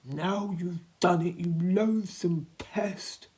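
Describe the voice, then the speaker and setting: Woman as male earthworm, storybook, scornful, unsympathetic, sour, unhurried. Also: fairly low pitch, a little mellow, voice pushed up. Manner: sour, scolding. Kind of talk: children's storybook character voice.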